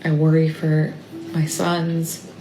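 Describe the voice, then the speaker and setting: A mother speaking in a halting, tearful confessional, often trailing off and dropping to a whisper. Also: low-pitched, monotone. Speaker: mother sharing a personal update. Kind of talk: emotional confessional monologue. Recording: echoey room with faint ambient noise.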